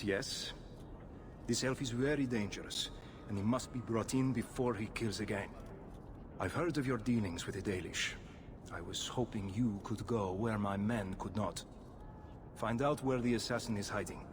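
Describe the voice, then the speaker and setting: A man's voice: fairly low pitch, moderate pace, lightly acted. A man playing a stern, earnest hunter of assassins in a video game, speaking in a grave and formal way. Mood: grave, insistent. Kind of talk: video game character acting. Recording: clear background ambient noise.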